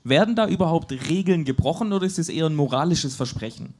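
A man's voice: on the high side, lively intonation, fast talker, darkish tone. A man hosting a live football panel, putting pointed, provocative questions to his guests into a handheld mic. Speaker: host. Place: pub stage before a live audience.

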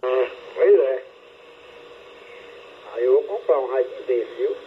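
A man's voice: mid-range voice, lively intonation, a little slow. A man chatting casually over two-way radio, colloquial and often repeating his phrases. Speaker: amateur radio operator. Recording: narrow-band, noisy radio transmission.

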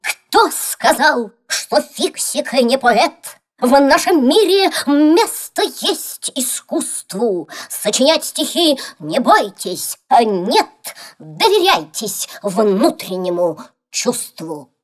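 A woman voicing a strict, proud elderly schoolteacher in a children's cartoon, brisk and emphatic. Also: high-pitched, sing-song, full character voice. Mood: solemn. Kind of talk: animated children's cartoon acting. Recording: music under the voice.

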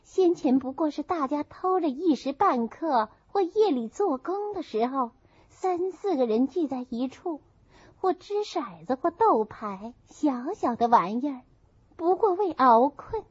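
An earnest young noblewoman , played by a woman narrator, explains respectfully in a high, lively voice. She is outspoken, a storybook young lady on a dull, dry recording. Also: measured pace, dry recording. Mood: earnest, concerned.

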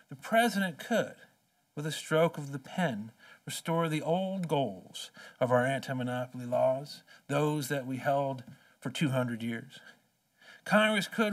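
A man in his forties giving an impassioned keynote speech at a podium, emphatic and rhetorical, with slow deliberate phrases. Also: on the high side. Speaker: keynote speaker. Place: large conference hall, at a podium. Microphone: podium microphone. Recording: distant conference hall sound.

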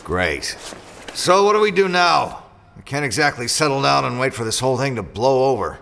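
An actor playing a cynical mercenary pilot in a sci-fi audio drama, dry and sarcastic. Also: male voice, mid-range voice, sing-song, moderate pace, vocal fry, fairly bright tone, a little hiss or hum. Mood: sardonic, impatient.

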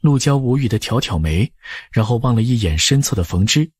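A male audiobook narrator tells a period story from a script. His voice is husky with widely moving intonation, recorded close and dry. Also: medium pitch, lively intonation, brisk, darkish tone, no room sound.

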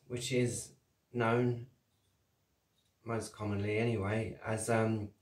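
A man's voice: medium pitch, a little mellow. A man giving a cheerful, rambling how-to demonstration as channel host, talking at a desk in a small home workshop. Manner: cheery, rambling, laughs at own jokes. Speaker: cigar box guitar builder and channel host. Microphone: camera mic at desk distance. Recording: roomy, distant camera sound.

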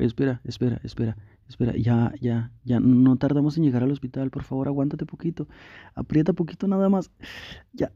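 A man playing a doting, anxious boyfriend, dark-voiced. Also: fairly high pitch, breathy edge, dry recording, dull audio. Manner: tender, reassuring, repeats words insistently. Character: doting, anxious young boyfriend, soon a father. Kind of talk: intimate ASMR roleplay.

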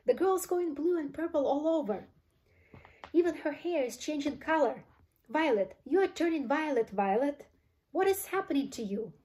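A woman's voice: on the high side, lively intonation, moderate pace, hardly any pauses, breathy edge. A woman voicing a frantic, indignant mother in a storybook, screaming her exclamations. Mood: horrified shrieking.

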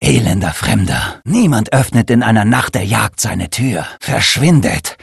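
An actor playing a hostile, half-mad townsman in a video game, contemptuous and mocking, with a very raspy, gravelly voice. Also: lowish voice, sing-song, measured pace, no echo, clean studio sound, full character voice. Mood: hostile, curt. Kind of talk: video game character acting.

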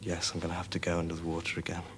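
Very deep-voiced young man. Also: completely flat delivery, rapid-fire. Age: young adult. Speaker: interviewee, rescued caver. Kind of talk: reflective interview recollection. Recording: clear background noise.

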